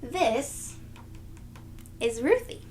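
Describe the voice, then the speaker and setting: A woman reading a children's picture book aloud as a storyteller, in a deliberate, teacherly, sing-song way for young listeners. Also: high voice, big pitch swings, darkish tone, slight background noise.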